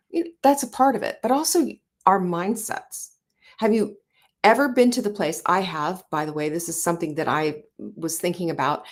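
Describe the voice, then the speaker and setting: A woman in her sixties hosting a talk show, speaking earnestly and conversationally with frequent fillers and restarts. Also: medium pitch. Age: 60s. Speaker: show host. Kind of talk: motivational talk.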